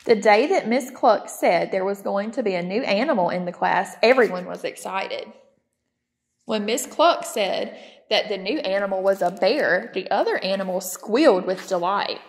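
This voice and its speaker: A woman reading a children's picture book aloud as a storytime narrator. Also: medium pitch. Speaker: storytime reader.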